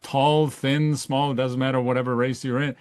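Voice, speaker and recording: A man's voice: mid-range voice, little pitch movement, normal speed, a little mellow, dry recording, studio quality. A man from Czechoslovakia hosting a casual interview, agreeable, often saying yeah and restarting. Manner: agreeable, frequent yeahs and restarts. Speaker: podcast host.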